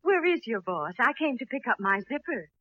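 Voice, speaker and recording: A woman's voice: fairly high pitch, big pitch swings, moderate pace, no echo. Showgirl diva, muffled old recording. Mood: flirtatious. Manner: coaxing, theatrical, imperious. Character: seductive, demanding diva showgirl with a quick temper. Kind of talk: mid-century radio comedy acting.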